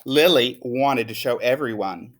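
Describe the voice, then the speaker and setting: A man who works as a teacher, reading a children's story aloud in an expressive, emphatic way. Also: medium pitch, measured pace. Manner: animated, emphatic.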